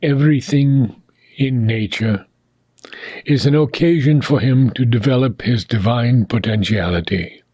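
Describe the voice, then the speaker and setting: Male narrator for philosophical audiobook: husky, unhurried, solemn and deliberate, with frequent pauses. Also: mid-range voice, mellow, dry recording. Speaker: reader of a spiritual philosophy book. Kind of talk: scripted audiobook reading.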